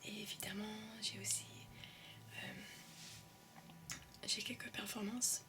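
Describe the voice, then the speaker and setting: A woman's voice: medium pitch, fairly even intonation, slow pace, far from the mic, background noise. A young woman with an English-tinged French accent rambling in an ASMR whisper, hesitant and full of ums, in shared student housing. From raised in English-speaking countries. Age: young adult. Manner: soft whisper, hesitant with many ums and euhs. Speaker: ASMR creator.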